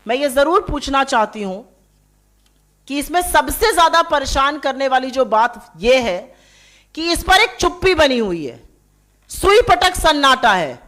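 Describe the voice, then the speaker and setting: A woman's voice: high voice, very animated, unhurried, fairly bright tone. A woman spokesperson making an emphatic, accusatory press conference statement, pressing her points with rhetorical questions. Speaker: political party spokesperson.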